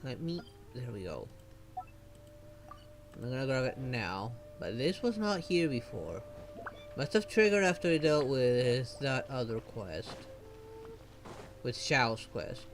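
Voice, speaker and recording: A man's voice: mid-range voice, sing-song, takes it slowly, pauses often. A man streaming a game, giving sarcastic live commentary and heckling the characters. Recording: faint game music behind. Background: game music.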